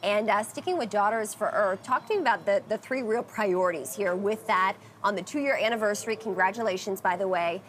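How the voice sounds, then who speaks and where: A woman's voice: mid-range voice, fairly quick, few pauses, a bit bright. Woman, mid-range voice, news host delivery, ambient noise behind. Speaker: interviewer, host. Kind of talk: news magazine interviewing.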